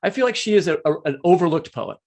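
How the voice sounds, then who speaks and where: A man's voice: sits high, expressive, quick pace, darkish tone. A man co-hosting a conversational literary interview, earnest and discursive, often correcting himself as he goes. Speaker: podcast co-host.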